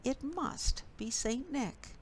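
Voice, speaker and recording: A woman's voice: high voice, slow pace. A woman leading storytime for young children as a librarian, speaking in simple, instructive phrases and reading expressively. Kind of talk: storytime for young children, with rhymes, songs and read-aloud. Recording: steady background noise.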